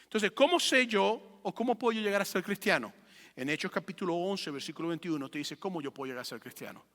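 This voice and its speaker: A male pastor with a high-pitched, bright and forceful voice delivers a teaching sermon. He hammers points home by repeating phrases and posing rhetorical questions. His voice rings with long reverb in a large room. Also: lively intonation, fast talker. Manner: emphatic, repeats phrases for effect. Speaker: pastor preaching.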